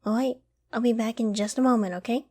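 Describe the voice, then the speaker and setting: A woman playing a doting, affectionate girlfriend in an intimate ASMR roleplay, tender and cooing with gentle teasing. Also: on the high side, steady tone, fast talker, close to an everyday voice. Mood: playful, doting. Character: doting, affectionate young girlfriend caring for her sick partner.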